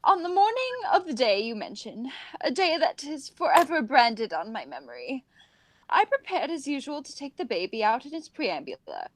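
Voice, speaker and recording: A woman as a high-pitched, prim, flustered governess. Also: big pitch swings, normal speed. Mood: ashamed, confessing. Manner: earnest, proper, overwrought. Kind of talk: radio play acting.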